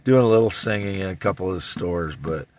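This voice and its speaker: A man vlogging casually, with a scratchy, slightly constricted voice and a rambling, halting way of talking. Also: sits low, darkish tone. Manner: rambling, halting delivery with restarts. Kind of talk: casual self-recorded vlog.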